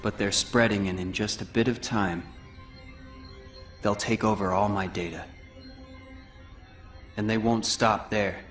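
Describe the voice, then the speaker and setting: An actor playing a solemn, self-sacrificing teenage boy hero in a video game, speaking gravely and in measured, earnest phrases. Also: lowish voice, fairly bright tone. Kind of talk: video game cutscene voice acting.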